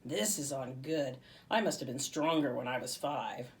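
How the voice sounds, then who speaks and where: A woman's voice: rumbling low, normal speed. A woman reading as a curious, bossy young girl in a children's storybook, direct and insistent. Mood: puzzled, bossy.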